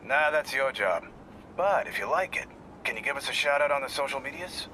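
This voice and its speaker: Folksy food shop worker for a video game, friendly, really bright voice, slight traffic noise. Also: male voice, medium pitch, expressive, brisk, rough recording. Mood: friendly, casual. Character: friendly male pizza shop worker, casual, familiar.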